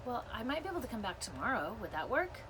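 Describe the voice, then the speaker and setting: A woman voicing a genial, patient male researcher and author in a storybook, with a polite, gentle, friendly manner. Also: a little high, very animated, fast, background noise, voice pushed up. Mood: cheerful, apologetic.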